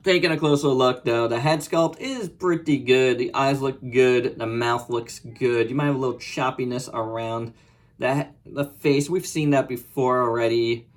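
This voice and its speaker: A man's voice: medium pitch, fairly even intonation. Casual man thinking aloud in a hands-on review. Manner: halting, thinking aloud in short clipped phrases. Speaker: toy reviewer and channel host. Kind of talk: casual, unscripted hands-on product review commentary.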